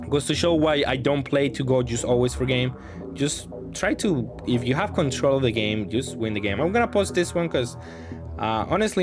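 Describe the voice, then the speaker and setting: A man giving casual gameplay commentary, thinking aloud in a hesitant, self-correcting way. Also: mid-range voice. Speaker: gaming content creator commentating matches.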